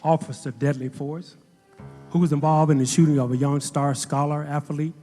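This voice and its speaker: A man's voice: on the high side, steady tone, moderate pace, dark tone, big-room sound, soft background music, lightly acted. A man playing a grave news narrator in a scripted audio drama. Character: grave male news narrator telling a tragic tale.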